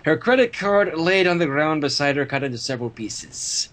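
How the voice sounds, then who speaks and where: A reader narrating fan fiction aloud in an expressive storytelling style. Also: mid-range voice, moderate pace.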